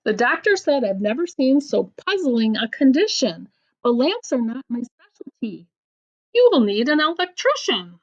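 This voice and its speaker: A woman reading rhymes aloud to children like a teacher, in an expressive, deliberate, sing-song storytelling delivery, with dull lo-fi sound. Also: high voice.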